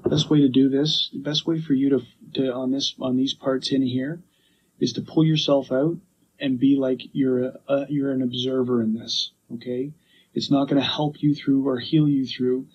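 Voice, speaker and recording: A man working as a police detective, questioning a witness in a calm, methodical way, heard on an archival interview recording. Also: medium pitch, normal speed, breathy edge, dull audio.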